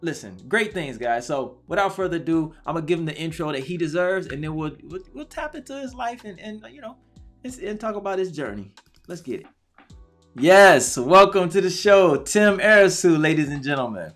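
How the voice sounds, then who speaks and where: A man's voice: on the high side, expressive, normal speed, a bit bright, soft background music. A man hosting a casual talk show interview, chatty and hyped, repeating himself often.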